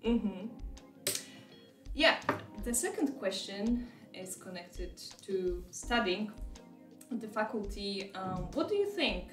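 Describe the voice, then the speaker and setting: A woman's voice: medium pitch, expressive, slow pace, recorded from a distance, over music. Woman host in an echoey room. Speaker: interviewer, university recruitment host. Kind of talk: interview hosting.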